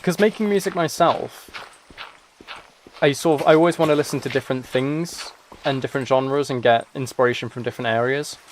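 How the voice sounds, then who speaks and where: A man with a mid-pitched voice rambles casually through a gaming livestream. He sounds tired, often breaks off and restarts as he answers chat, and clicks and noise run clearly behind him. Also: brisk, low-quality recording. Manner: rambling, self-interrupting, replying to chat comments. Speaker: livestreamer and musician.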